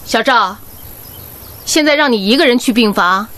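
A head nurse drilling her team in a radio drama. Her voice is high and very animated, with brisk, nagging commands that are strict yet caring, over light background noise. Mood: stern, testing. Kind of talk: Chinese radio drama acting.

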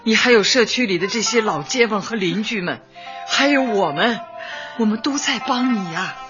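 An actress in a radio drama playing a kindly older community official, consoling and persuasive. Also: female voice, medium pitch, expressive, moderate pace, close to an everyday voice. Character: steady, kindly older female community Party secretary. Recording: dull lo-fi, music behind.

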